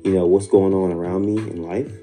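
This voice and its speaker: An American man rambling casually in a hesitant, low-key, sleepy way, like a first-time podcaster. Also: little pitch movement, brisk. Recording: music beat under the voice. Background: looping music beat.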